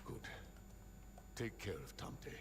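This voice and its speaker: An actor playing a grim, vengeful warrior in a video game, menacing and deliberate. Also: male voice, noisy background, lightly acted. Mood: cold. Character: grim, vengeful adult male warrior vowing to protect his people. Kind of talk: dramatic video game acting. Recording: loud game music over the voice.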